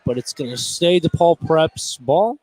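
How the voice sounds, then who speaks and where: A man's voice: a little high, lively intonation, unhurried, darkish tone, slightly rough, rough recording. A young man giving casual color commentary on a live basketball broadcast. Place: courtside in a high school gym.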